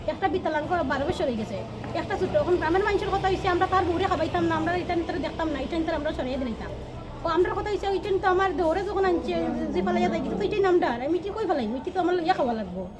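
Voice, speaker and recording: A woman's voice: very high-pitched, lively intonation, quick pace. A woman with a Tripura Bengali accent giving an agitated, aggrieved account to a reporter, unscripted. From Tripura, India. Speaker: complainant giving a statement to a reporter. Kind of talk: unscripted spoken complaint. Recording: loud crowd and street noise behind. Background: crowd chatter and traffic.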